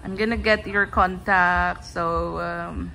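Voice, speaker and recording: A woman's voice: lowish voice, steady tone, takes it slowly, gravelly, bright, forceful tone, faint music behind. A woman with a Filipino accent, chatting casually in a vlog at a nail salon and giggling often. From Philippines. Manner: giggly, chatty, mixing English and Tagalog. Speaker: vlogger.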